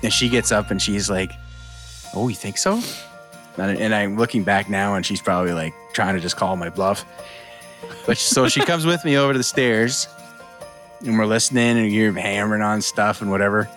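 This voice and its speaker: A man co-hosting a casual podcast, telling a story with lots of fillers and laughter, sometimes dropping to a hushed voice. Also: on the low side, moderate pace, faint music behind. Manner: laughs often, rambling with many fillers, drops to hushed tones. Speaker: podcast co-host.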